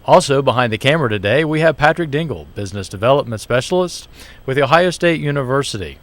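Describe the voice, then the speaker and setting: A man's voice: mid-range voice, animated, fast. Chatty male talk show host. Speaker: show host, small business center director. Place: university TV studio.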